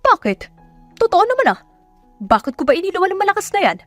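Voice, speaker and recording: A woman voicing a cruel middle-aged provincial mother in an audio drama, shouting scornful, scolding questions at her daughter. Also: very high voice, huge pitch swings, fast talker, dry recording, soft background music, pitched above the performer's own voice, heavily acted. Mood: furious. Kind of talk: Tagalog audio drama acting.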